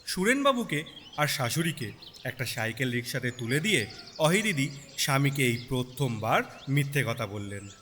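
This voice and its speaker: A male storyteller reads dramatically, with a bright and very animated delivery. His expressive, sympathetic narration sits in long reverb with night insects faintly behind it. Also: mid-range voice, moderate pace, a little hiss or hum.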